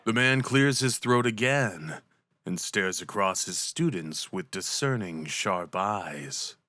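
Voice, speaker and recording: Slow-paced male fantasy storyteller. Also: lowish voice, lively intonation, pushed and bright, no room sound. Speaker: narrator and voice performer. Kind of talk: scripted second-person fantasy narration, ASMR roleplay.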